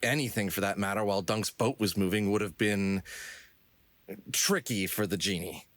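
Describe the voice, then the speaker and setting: A man's voice: fairly low pitch, moderate pace, fairly bright tone, no echo, close to an everyday voice. A man voicing a clever, theatrical fox showman in an audio drama. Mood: confident, explaining. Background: music.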